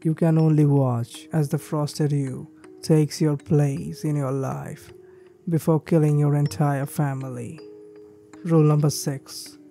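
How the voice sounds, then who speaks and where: A man reading a creepypasta list of ski resort rules in a steady, measured scripted narration. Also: a little high, gravelly. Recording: faint background music.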